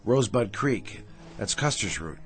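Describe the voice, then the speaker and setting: Radio drama role: dutiful, earnest male sergeant, lowish voice, clipped delivery, faint music beneath. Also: expressive, measured pace. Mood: uneasy, insistent. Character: serious, uneasy army sergeant, dutiful and earnest. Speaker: actor.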